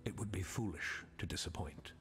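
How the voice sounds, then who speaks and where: A man playing an obsessed scholarly sorcerer in a video game, speaking in a grandiose, deliberate, brooding manner. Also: whispered, close to an everyday voice. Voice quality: low, gravelly. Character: obsessed scholarly male thaumaturgist reading his dark journal. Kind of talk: theatrical dramatic game monologue.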